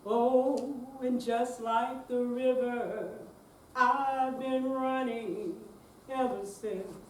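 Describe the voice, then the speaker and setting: Woman singer, high voice, unaccompanied, drawn-out slow phrases, echoey. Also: steady tone, a little hiss or hum. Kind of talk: unaccompanied singing. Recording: echoey room, distant mic.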